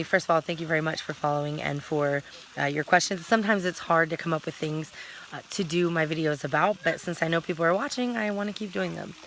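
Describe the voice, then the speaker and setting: Chatty woman in her thirties, bright voice. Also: on the low side, a little hiss or hum, rough recording. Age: 30s. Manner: rambling, talkative, self-correcting. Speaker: vlogger. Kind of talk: casual chatty vlog. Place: outdoors at a campsite.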